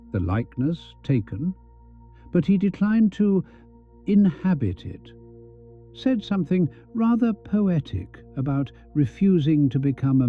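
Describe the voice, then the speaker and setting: A man voices a husky, world-weary old headmaster's portrait, gossiping in a dry drawl. The voice sits rather high and swings in pitch, and it sounds dull and muffled. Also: full character voice. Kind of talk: audio fan fiction acting. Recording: dull, band-limited sound. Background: music.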